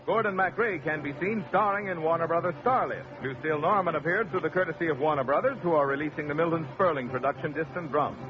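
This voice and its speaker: A man announcing the closing credits of a radio show in a crisp, formal reading. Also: fairly high pitch, fast, very noisy. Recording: dull lo-fi 1950s radio broadcast. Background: orchestral music.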